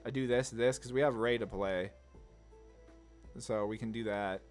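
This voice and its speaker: A man with an average-pitched voice thinks aloud as he commentates a game. His talk is casual, slangy and laid-back, like a video host playing along. Music runs underneath his voice. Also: fairly even intonation, normal speed.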